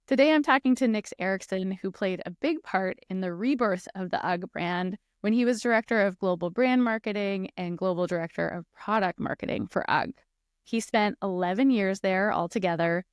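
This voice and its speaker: A woman's voice: medium pitch, clear, resonant tone, dry recording, clean studio sound. A woman hosting a podcast, reading the introduction and asking the guest questions in an upbeat, enthusiastic way. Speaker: podcast host.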